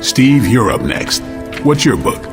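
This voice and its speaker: An actor playing a wry, casual superhero with dry humour in a video game. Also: male voice, medium pitch, big pitch swings, measured pace, music in the background.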